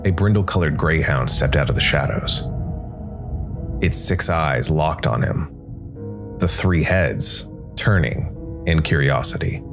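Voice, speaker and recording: A man narrating a scripted dark fantasy story in a measured way, with dramatic pauses. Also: a bass voice. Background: background music.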